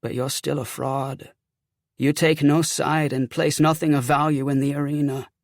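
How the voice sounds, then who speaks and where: A man's voice: on the high side, level tone, normal speed, no echo, studio quality, close to an everyday voice. A man voicing the mocking ghost of a young slain officer with a Celtic accent, sardonic and taunting, in an audiobook. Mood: cold, taunting. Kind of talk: audiobook character acting.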